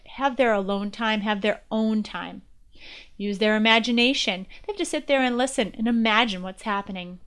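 Female podcast presenter, higher-pitched and very clear-voiced, delivering scripted educational narration in a presentational manner. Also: fairly bright tone. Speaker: guest podcast host.

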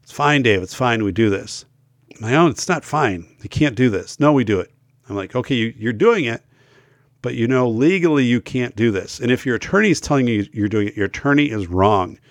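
A man's voice: mid-range voice, lively intonation, brisk, no room sound, studio quality. A middle-aged man hosting a school safety talk show, losing his voice and feeling unwell, speaking emphatically with many fillers and restarts. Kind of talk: casual talk to camera.

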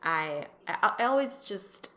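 A woman from Iran telling her personal story as an interview guest, speaking haltingly and repeating words as she thinks. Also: lowish voice, expressive, bright, forceful tone.

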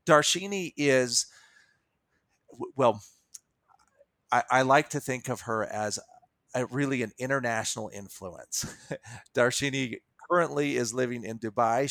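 An American man co-hosting a podcast, introducing and chatting casually in a halting, stop-start way. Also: mid-range voice, fairly bright tone, no echo. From United States. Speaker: podcast co-host.

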